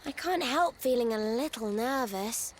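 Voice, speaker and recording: A woman's voice: a little high, expressive, unhurried, slight background noise. An actress voicing a blunt, confident little girl mage in a video game. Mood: thoughtful. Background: faint game music.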